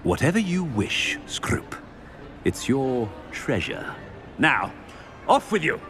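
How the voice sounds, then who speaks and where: Pompous, wildly swooping headmaster. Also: male voice, medium pitch, very animated, takes it slowly, fairly bright tone, faint music behind. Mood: haughty, dismissive. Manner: pompous, haughty, commanding. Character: pompous, imperious middle-aged headmaster, bluffing. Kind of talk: video game character acting.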